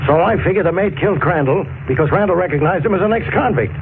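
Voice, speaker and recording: Blustering high-pitched police inspector. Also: male voice, fast. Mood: confident. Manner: blustering, barking orders. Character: gruff, bossy, blustering male police inspector. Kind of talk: 1940s radio acting. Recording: tinny, noisy old 1940s radio recording.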